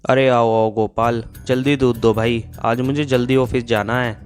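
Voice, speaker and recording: A man's voice: medium pitch, little pitch movement, normal speed, voice pushed down, close to an everyday voice. A man playing a suspicious, grumbling male customer in a Hindi cartoon tale, insistent and accusing in manner. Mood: brisk. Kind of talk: Hindi moral-story cartoon dialogue. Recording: music behind the voice.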